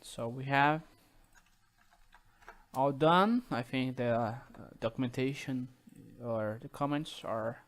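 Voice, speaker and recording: A man with a Brazilian Portuguese accent, not a native English speaker, talking slowly and haltingly through a coding tutorial with many fillers and restarts. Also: mid-range voice. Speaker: programming tutorial host. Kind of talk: screen-recorded coding tutorial.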